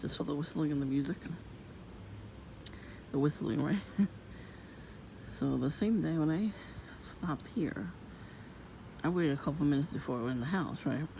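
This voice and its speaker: Woman, low voice, gravelly, soft-spoken, rambling, suspicious, noisy outdoor recording. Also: moderate pace, long pauses. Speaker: vlogger. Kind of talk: casual rambling monologue.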